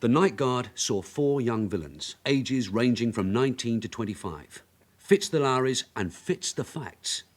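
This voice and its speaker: Veteran DS, radio drama: shrewd, colloquial, probing, very varied intonation. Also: male voice, medium pitch, expressive, a little slow. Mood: brisk, confident. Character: shrewd veteran London police detective sergeant, persistent, coaxing. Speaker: actor in a radio crime drama. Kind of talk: naturalistic radio drama acting.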